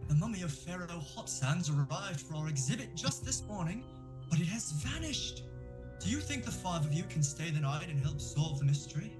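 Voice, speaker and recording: Stuffy british cartoon professor, theatrical explainer, music under it. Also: male voice, a little high, big pitch swings, moderate pace. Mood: grave, theatrical. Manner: theatrical, formal, expository. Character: formal, ominous British museum professor from a cartoon mystery. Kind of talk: cartoon-parody audio drama acting. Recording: music bed behind the voice.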